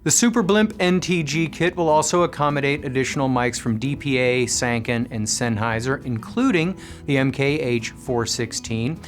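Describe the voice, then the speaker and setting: A man presenting a scripted product review, explaining things with clear enunciation. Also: medium pitch, normal speed, hardly any pauses, over music. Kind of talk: scripted product review narration with live demo commentary.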